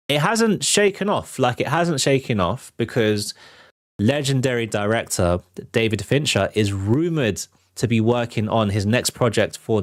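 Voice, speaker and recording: A man's voice: medium pitch, dry recording, studio quality. A British man hosting an entertainment news livestream, talking in a casual, slangy way and thinking aloud as he gives his commentary.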